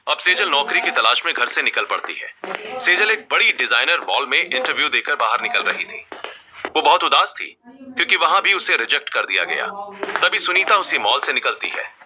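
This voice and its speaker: A man's voice: a little high, sing-song, moderate pace, background noise. A man reading a scripted family story as its narrator. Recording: music bed under the voice. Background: loud background music.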